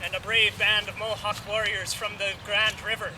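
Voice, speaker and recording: A man's voice: high voice, level tone, moderate pace, natural voice. A man playing a brusque, self-important military man in an outdoor historical play, declaiming curtly. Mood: proud, declaiming. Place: outdoors in a park. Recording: distant mic, clear outdoor noise.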